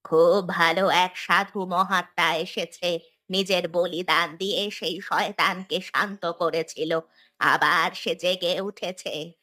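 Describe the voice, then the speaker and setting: A woman's voice: fairly low pitch, fairly even intonation, pushed and bright. A woman voicing a grave, knowing old village healer in a horror cartoon, speaking in an ominous manner. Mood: ominous warning. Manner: ominous, deliberate storytelling.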